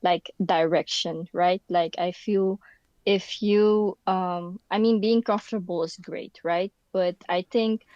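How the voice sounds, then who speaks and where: Slow, low-voiced young woman, dull sound. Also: steady tone, dry recording. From Philippines, raised in the Netherlands. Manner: soft-spoken, searching for words with many fillers. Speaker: podcast guest, university student. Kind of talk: casual conversation. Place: indoor room.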